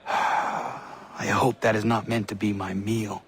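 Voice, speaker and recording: Low, stern young assassin. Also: male voice, level tone, takes it slowly, a bit bright. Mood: guarded. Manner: formal, stilted, without contractions. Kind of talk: video game voice acting.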